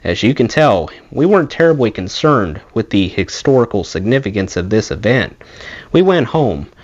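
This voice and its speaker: A man reading a rock memoir aloud in an expressive storytelling way. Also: fairly low pitch, moderate pace, lo-fi sound. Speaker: audiobook reader, channel host.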